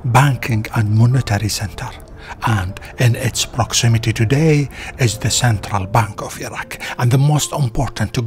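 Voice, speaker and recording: A man reads a scripted historical narration as a documentary narrator. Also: mid-range voice. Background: background music.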